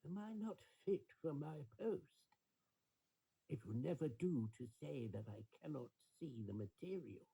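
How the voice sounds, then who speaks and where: A man's voice: fairly high pitch, lively intonation, measured pace, creaky, mellow, breathy edge, exaggerated voice, poor audio. A man voicing a faithful old storybook minister who is flustered and anxious to please, speaking in a faltering way. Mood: worried, bewildered. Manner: hesitant, faltering.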